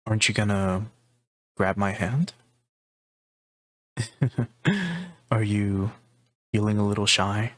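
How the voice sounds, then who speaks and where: A man acting out a gentle, affectionate male partner in an ASMR roleplay. He is soft-spoken and fairly low-voiced, very slow, leaving pauses between phrases. The delivery is tender and intimate, meant to comfort. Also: no room sound.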